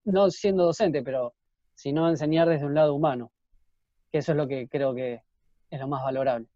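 Muffled dry call audio, guy co-hosting, highish voice, halting. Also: warm tone, no room sound. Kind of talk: casual chat. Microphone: remote internet call.

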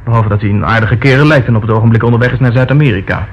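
A man acting a haughty, evasive gentleman in a Dutch radio play, answering in a curt, dismissive way. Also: mid-range voice, racing, close to an everyday voice. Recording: dull, faintly noisy old radio recording.

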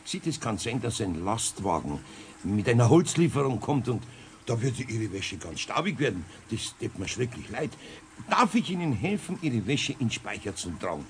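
A man's voice: medium pitch, sing-song, moderate pace. A man playing an older craftsman with a Bavarian accent, earnest and flustered, in a children's radio play. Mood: politely worried. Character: agitated, kind-hearted older craftsman. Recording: 1970s radio recording with faint noise.

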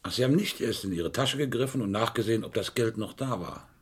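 An actor playing a brisk, sceptical male police inspector in a German radio crime play, questioning in a curt, dry, probing way. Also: mid-range voice, a little hiss or hum, close to an everyday voice. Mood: sceptical, insistent.

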